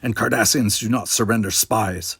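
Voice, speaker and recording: A man voicing a harsh, rasping male alien guard in an audiobook, aggressive, suspicious and menacing. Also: lowish voice, moderate pace, fairly bright tone, no room sound, heavily acted. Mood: defiant.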